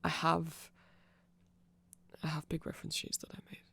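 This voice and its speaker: Creaky-voiced ASMR rambler, sex unclear. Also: medium pitch, monotone, normal speed, sharp tone. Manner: nerdy, self-deprecating, digressive. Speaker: ASMR creator explaining band lore. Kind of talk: casual rambling ASMR explainer.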